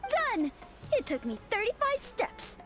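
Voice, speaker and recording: A woman's voice: very high-pitched, huge pitch swings, unhurried, loud music behind the voice, heavily acted. A woman voicing a confident, cheerful young student in a video game.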